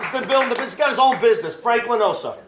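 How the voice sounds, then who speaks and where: A middle-aged man with a fairly high voice runs a rambling, salesy pitch, tossing questions out to the audience. The sound carries the echo of a room around him. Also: expressive, racing, noisy background. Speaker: presenter introducing his son. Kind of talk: motivational pitch to a home meeting. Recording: echoey room.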